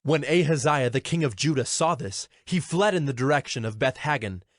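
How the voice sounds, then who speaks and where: A man narrating scripture in a measured, formal style as part of a dramatized audio Bible. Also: medium pitch, lively intonation, no echo, studio quality. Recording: background music under the voice.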